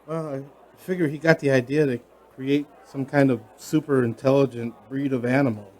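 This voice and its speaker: A man's voice: mid-range voice, steady tone, normal speed, a little mellow, close to an everyday voice, poor audio. An actor playing a matter-of-fact deputy giving his report in a radio drama. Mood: reporting, incredulous.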